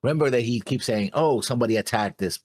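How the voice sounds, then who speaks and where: A man's voice: mid-range voice, fast, a little mellow, dry recording, studio quality. A man co-hosting an anime discussion show, casually talking through his episode notes. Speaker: co-host of an anime reaction show.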